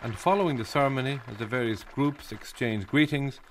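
A male reporter in the field, asking measured, slightly hesitant questions and then narrating. His voice sits at an ordinary middle pitch, recorded outdoors. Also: some chatter behind, slight background noise, poor audio. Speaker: radio reporter. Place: outdoors at a ceremony.

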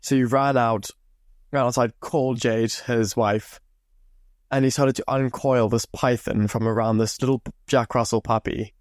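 A man's voice: medium pitch, fairly even intonation, unhurried, dry recording. A young man from Zimbabwe hosting a podcast, telling stories in a loose, chatty way and swearing freely into a close mic. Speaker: podcast host. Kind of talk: casual storytelling monologue.

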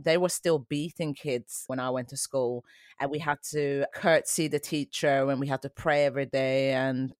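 A woman's voice: sits low, dry recording, studio quality. A woman in her forties who grew up in Sweden, a dance teacher answering interview questions in an earnest, reflective way.

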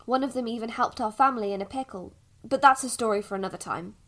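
A woman performs a gentle village mother in an audio drama. Her voice sits a little high, and she speaks with patient, coaxing care, turning hesitant when she explains the past. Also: fast talker, lightly acted. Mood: gentle, explaining.

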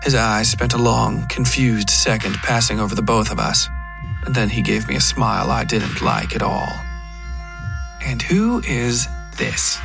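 A man's voice: on the low side, steady tone, moderate pace, gravelly. A man reading scripted first-person fiction as the narrator of a horror podcast. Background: guitar music.

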